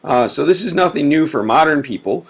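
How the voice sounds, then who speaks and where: A man's voice: fairly high pitch, expressive, brisk. A man giving a casual recorded history lecture, full of filler words, sick and sniffly. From United States. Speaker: teacher.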